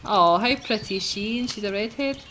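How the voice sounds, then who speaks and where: A chatty woman hosts a game playthrough in a medium-pitched voice. She slips easily into giggles and talks casually, with birds chirping and some noise behind her. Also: fairly quick. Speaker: let's play host reading a visual novel aloud. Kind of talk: casual gameplay commentary and read-aloud. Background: chirping birds from game audio.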